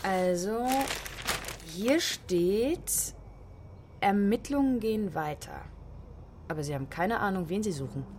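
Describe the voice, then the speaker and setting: An actress playing a matter-of-fact, inquisitive friend in a naturalistic radio drama, with faint background noise. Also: female voice, mid-range voice, lively intonation, slow pace, pauses often.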